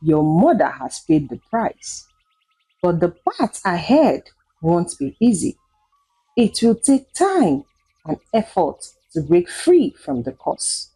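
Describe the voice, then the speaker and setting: A woman voicing an old wise woman in a folktale, solemn and cryptic, with measured pronouncements. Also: fairly low pitch, sing-song, dark tone, breathy edge, soft background music, heavily acted, low-quality recording. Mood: grave, warning.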